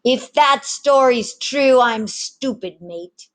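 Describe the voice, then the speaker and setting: A woman voicing a scheming, bossy male pirate with one arm, talking in rough sailor slang for a storybook. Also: high-pitched, expressive, takes it slowly, voice pushed up. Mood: swaggering, enticing.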